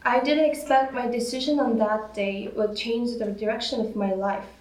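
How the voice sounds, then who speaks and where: A woman's voice: fairly high pitch, fairly even intonation, normal speed, a little mellow, reverberant. Young woman, distant mic. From South Korea. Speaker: church member sharing testimony. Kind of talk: read personal testimony.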